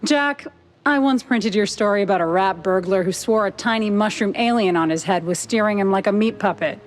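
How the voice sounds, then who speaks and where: An actress playing a sharp, skeptical newspaper reporter in a noir cartoon game, probing with dry, unflappable wit. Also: female voice, medium pitch, a little fast, natural voice. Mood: dry, curious.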